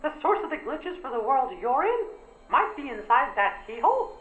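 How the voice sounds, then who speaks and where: An actor voicing a small, earnest, kindly cartoon mouse king in a squeaky falsetto, encouraging and sincere. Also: big pitch swings, normal speed, echoey room, narrow, tinny audio, faint music behind. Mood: concerned.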